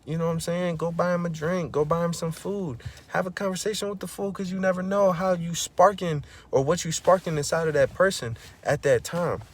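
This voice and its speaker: A man vlogging a casual motivational monologue, preachy and full of slang, often saying "bro" and "you know what I'm saying," getting loud and high when he is fired up. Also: steady tone, brisk, hardly any pauses, a little hiss or hum.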